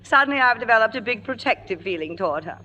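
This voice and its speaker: A woman's voice: fairly high pitch, lively intonation, fast talker, bright, forceful tone, low-quality recording. A woman playing a proud but insecure aging stage actress in old radio drama, speaking in a clipped, dramatic way. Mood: tender, insecure. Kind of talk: 1950s radio drama acting and narration.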